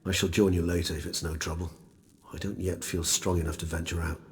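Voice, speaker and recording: Man doing a deep scholarly vampire, polite n bookish, big echo on it, game cutscene. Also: little pitch movement, close to an everyday voice. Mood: musing, polite. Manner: measured, formal, bookish. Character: erudite, courteous male vampire scholar, calm and inquisitive. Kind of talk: video game cutscene acting. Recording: long reverb, faint background noise.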